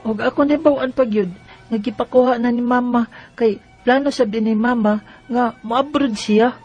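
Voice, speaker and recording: High-voiced, plaintive Bisaya child. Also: female voice, steady tone, moderate pace, a little mellow, breathy edge, muffled recording, soft background music. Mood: shocked, troubled. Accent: Bisaya Filipino. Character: naive, worried child confiding family secrets. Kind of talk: Bisaya radio drama acting.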